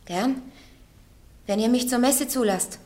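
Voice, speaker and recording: A woman's voice: fairly high pitch, slow, dark tone. An actress playing a defiant, plain-spoken peasant girl of nineteen on trial in a radio play, simple, forthright and stubborn. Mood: simple, frank. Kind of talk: German radio play acting.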